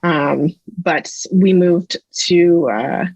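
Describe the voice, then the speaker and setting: Husky low-pitched Canadian-raised woman. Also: extremely slow, a little mellow, dry recording. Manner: thoughtful, hesitant with many fillers. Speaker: guest psychology researcher. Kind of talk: casual interview chat. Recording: dull lo-fi sound, dry.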